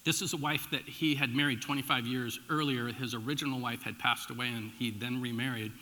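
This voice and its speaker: A middle-aged American man speaks as a pastor in a big, reverberant hall. His voice is very bright and forceful, at an average male pitch. He tells stories informally, punching some phrases and letting asides drop away. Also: a little fast. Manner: informal, animated storytelling with emphatic swings and dropped asides. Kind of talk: conversational sermon with personal storytelling. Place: large church room, addressing a congregation. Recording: echoey hall with long reverb.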